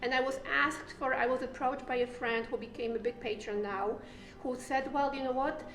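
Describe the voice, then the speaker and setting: Polish-accented female artist, halting storyteller, roomy sound. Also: high voice, fairly even intonation, a bit bright. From Poland. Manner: halting, many fillers and restarts. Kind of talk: personal story interview. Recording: echoey room with faint music.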